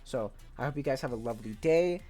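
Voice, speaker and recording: A man's voice: medium pitch, expressive, fast, a little mellow. A man giving casual, rambling commentary on viewer suggestions for a video game, upbeat and eager, full of filler words. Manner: laid-back, rambling, peppered with "like" and "dude". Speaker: gaming YouTuber. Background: faint background music.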